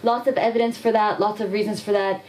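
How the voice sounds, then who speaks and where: Woman, from Afghanistan, interview answer, direct, challenging, mid pitch, faint hum. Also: fairly even intonation, fast talker, darkish tone. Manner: direct, challenging, rhetorical questions. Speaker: guest from a nonviolence institute.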